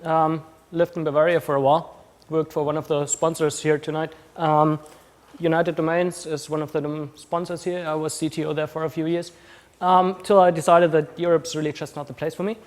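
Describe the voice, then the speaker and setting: A man's voice: on the high side, flat delivery, brisk. A man from Austria whose accent blends Austrian German and New Zealand, giving a casual conference talk with many ums and restarts. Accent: mixed Austrian German and New Zealand. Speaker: conference speaker. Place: conference room.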